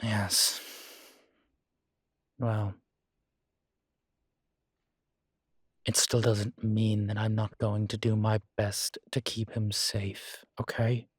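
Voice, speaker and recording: A man playing a guilt-ridden, gentle and wry ancient vampire in an intimate audio roleplay, speaking in a halting, reflective way. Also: fairly low pitch, monotone, husky, no echo, clean studio sound. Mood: earnest, defensive. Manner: halting, reflective, with sighs and soft chuckles. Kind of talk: intimate one-sided audio roleplay acting.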